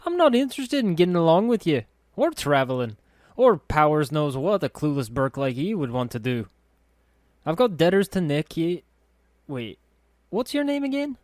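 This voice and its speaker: A woman's voice: deep voice, big pitch swings, normal speed, a little mellow, no room sound, studio quality, voice pushed up. A man voicing a brash, sneering young woman scavenger with a snappish, scornful way of talking.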